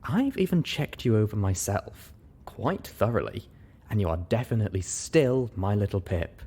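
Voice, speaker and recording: Man voicing a soft, affectionate pony mare. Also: fairly low pitch, lively intonation, moderate pace, breathy edge. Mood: tender, teasing. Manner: soft, tender, teasing. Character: affectionate, reassuring adult mare lover.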